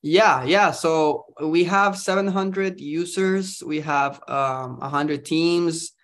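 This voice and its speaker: A man's voice: on the high side, takes it slowly, fairly bright tone. A young man, chatting upbeat as a podcast guest. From Costa Rica. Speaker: guest, startup founder. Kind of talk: casual interview chat.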